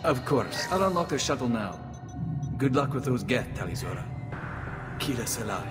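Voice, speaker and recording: An actor playing a deferential, slightly hesitant alien military officer in a video game. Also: male voice, medium pitch, big pitch swings, moderate pace, over loud music, natural voice. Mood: polite, uneasy. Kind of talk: video game dialogue acting.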